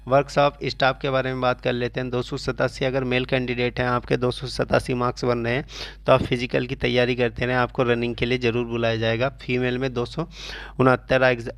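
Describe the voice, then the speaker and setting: A man speaks as a coaching channel host giving students an update. His mid-range voice stays very even and flat in pitch. He explains point by point, repeating key phrases and mixing in English terms. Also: no room sound. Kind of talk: informational exam update addressed to students.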